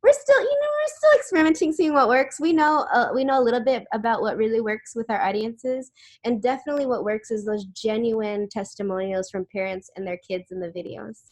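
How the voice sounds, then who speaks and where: A woman's voice: a little high, brisk. A woman answering questions in a webinar over a video call, chatty, with many fillers and restarts. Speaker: webinar speaker.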